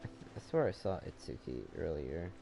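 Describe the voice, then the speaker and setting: Lively, low-voiced young man gaming. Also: vocal fry, over music. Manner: halting, repetitive, informal. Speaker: gaming streamer. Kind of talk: casual gameplay commentary. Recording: noisy home microphone, clicks.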